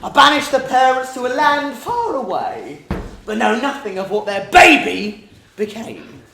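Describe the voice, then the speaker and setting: A man's voice: very high voice, big pitch swings, measured pace, gravelly, slight background noise, full character voice. A man playing a devious, boastful old crone, a gleefully wicked, hectoring pantomime villainess on stage. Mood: gleefully scheming. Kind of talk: theatrical pantomime rhyme and song. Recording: roomy stage sound with loud music.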